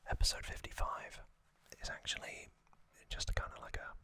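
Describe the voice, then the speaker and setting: A man hosting ASMR, recapping a story in a breathy, unvoiced whisper with a gentle, halting delivery. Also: dry recording. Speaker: ASMR host.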